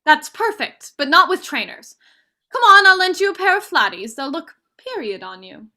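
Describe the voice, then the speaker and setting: High bright-voiced young woman, acted by a student, outspoken romantic type, stage play reading. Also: very animated, normal speed, heavily acted. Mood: brisk, chirpy. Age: young adult. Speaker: student actor.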